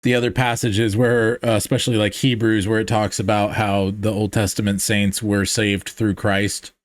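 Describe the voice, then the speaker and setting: A man's voice: lowish voice, level tone, moderate pace, no room sound. A man hosting a podcast, talking through theology in a casual, searching way with lots of fillers and restarts. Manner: thinks aloud with many fillers and restarts. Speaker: podcast host.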